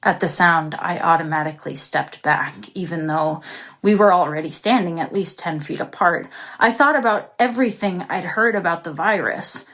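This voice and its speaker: An actress playing a warm-hearted, excitable young woman who tells her own story in an expressive, confiding dramatic monologue. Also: on the low side, normal speed. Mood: anxious, reflective. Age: young adult. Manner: expressive, confiding, breaks into small laughs. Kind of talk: dramatic first-person monologue.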